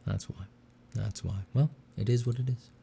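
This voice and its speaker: A man streaming while he draws, chatting casually with dry deadpan jokes and a slight slur from a sore mouth. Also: lowish voice, moderate pace, gravelly, slight background noise. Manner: dry deadpan joking, relaxed and rambling. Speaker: artist streaming a drawing session. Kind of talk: casual livestream chat.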